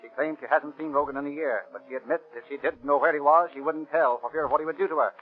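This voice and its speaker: An American actor playing an urgent narrator of a 1930s police radio drama, with a clipped bulletin delivery. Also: male voice, on the high side, steady tone, quick pace, natural voice. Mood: urgent, dramatic. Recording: tinny 1930s radio recording with music.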